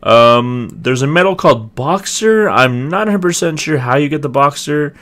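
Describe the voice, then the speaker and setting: A young man with a slightly raspy voice, casually reviewing a video game and hedging often. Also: on the high side, lively intonation, normal speed, fairly bright tone. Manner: rambling, hedging, many ums and restarts. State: clearing throat, voice getting raspy. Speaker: gaming video host. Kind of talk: casual gameplay review commentary.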